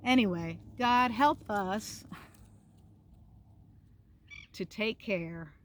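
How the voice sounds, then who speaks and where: A woman pastor reading a storybook and giving an informal devotional talk for children, with gentle pauses and simple phrasing. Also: medium pitch, a little hiss or hum.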